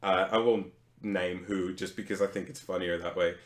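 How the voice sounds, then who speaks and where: A man's voice: deep voice, fairly even intonation, moderate pace, roomy sound. A man from Lithuania, a native Lithuanian speaker, co-hosting a casual livestream chat and telling rambling, self-deprecating stories. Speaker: co-host.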